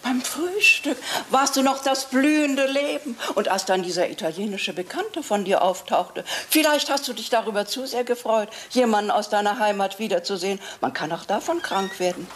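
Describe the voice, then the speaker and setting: An actress playing a fussy, prattling, well-meaning English spinster aunt in a radio play. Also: female voice, mid-range voice, animated, normal speed, close to an everyday voice. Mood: fussing, sympathetic. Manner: fussing, prattling, genteel. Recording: hissy old radio play recording.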